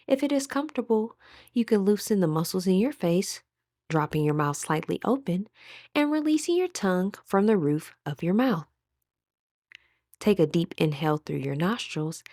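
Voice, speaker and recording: A woman guiding a meditation in a soothing, deliberate manner with gentle pauses. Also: medium pitch, no room sound, clean studio sound. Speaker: meditation guide. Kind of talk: guided meditation narration.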